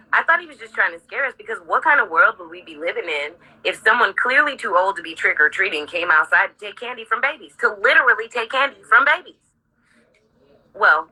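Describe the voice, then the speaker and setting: A woman with a husky voice reading her own memoir aloud informally, candid, sardonic and sweary, breaking off for asides. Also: low voice, sharp tone, low-quality recording.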